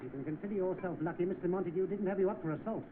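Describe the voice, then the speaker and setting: An actor with a British accent playing a petty, sharp-tongued garage manager who bullies his staff in an old film. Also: high-pitched, racing, close to an everyday voice. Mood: scolding. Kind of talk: vintage film acting. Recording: tinny, noisy old film soundtrack.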